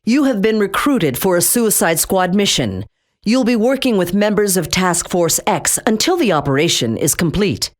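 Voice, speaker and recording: A woman's voice: mid-range voice, big pitch swings, normal speed, natural voice. An actress voicing a stern female government agency director in a video game, blunt and intimidating, giving orders over radio-style briefings. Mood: commanding. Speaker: game character. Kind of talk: game voice lines.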